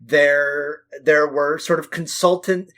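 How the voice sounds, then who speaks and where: A man with a fairly high voice co-hosts a film podcast. He talks casually but emphatically, swearing freely and leaning into hyperbole. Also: steady tone, slow, a bit bright. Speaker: podcast co-host.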